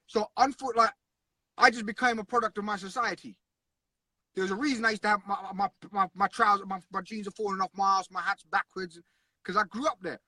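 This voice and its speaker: A man's voice: sits high, fairly even intonation, brisk, pushed and bright, no room sound. Earnest, blunt man. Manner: earnest, blunt, repeats himself. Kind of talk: casual conversation.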